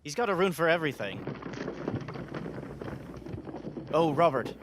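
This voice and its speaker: A man's voice: a little high, sing-song, fast, noisy background. Eager, polite young-sounding male writer. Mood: insistent, light. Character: Benjamin Ambrose, eager male newspaper writer, polite and reassuring. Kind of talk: audio drama acting.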